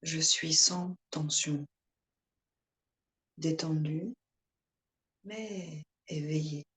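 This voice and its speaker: A woman yoga instructor guiding a relaxation meditation in calm, soothing short phrases with pauses. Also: low voice.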